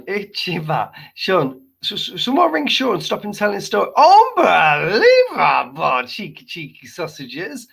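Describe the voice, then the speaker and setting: A man hosts a casual live stream, joking and exclaiming as he reads viewer comments. His voice is gravelly and fading as he loses it, and he sits a little high with a lively, swooping pitch. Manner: playful and jokey, repeating exclamations. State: losing his voice.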